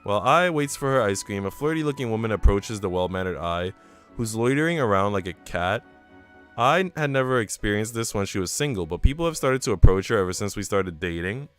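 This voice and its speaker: A man's voice: on the low side, expressive, a little fast, a bit bright, clean tone, soft background music. A man reading a visual novel aloud on a stream, mixing narration with casual, chatty remarks to viewers. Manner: chatty asides between narrated passages. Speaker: game streamer.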